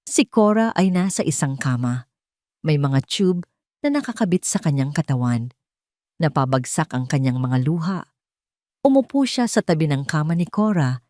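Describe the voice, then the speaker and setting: A woman's voice: low-pitched, animated, moderate pace, dark tone, no echo, clean studio sound. A woman narrating a scripted romantic audio drama as the storyteller.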